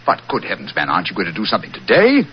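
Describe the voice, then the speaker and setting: Masterful English sleuth, crisp and widely inflected, 1940s radio acting, dull noisy broadcast sound. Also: male voice, low voice, wildly swooping pitch, rapid-fire, full character voice. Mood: exasperated. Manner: crisp, incisive, commanding. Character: brisk, impatient, masterful English gentleman detective. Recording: muffled, hissy old-time radio broadcast.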